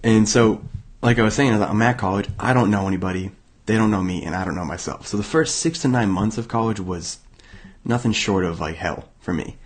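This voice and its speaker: Hoarse, raspy low-voiced young man. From Massachusetts, USA. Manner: casual, halting, many fillers and restarts. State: hoarse from illness. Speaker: podcast host. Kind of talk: motivational self-help monologue.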